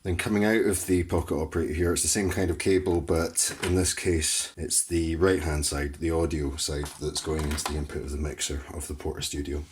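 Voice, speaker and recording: A man's voice: extremely low pitch, steady tone, fairly quick, few pauses, slight background noise. A man explaining music equipment in a methodical, matter-of-fact tutorial style. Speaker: music gear demonstrator.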